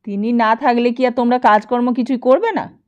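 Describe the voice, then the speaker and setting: A woman's voice: on the high side, normal speed, smooth, lo-fi sound, natural voice. A woman voicing an agitated, bossy man in an audiobook, firing insistent, bewildered questions. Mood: indignant. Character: agitated, bossy brother-in-law, bewildered man demanding answers. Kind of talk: audiobook character dialogue.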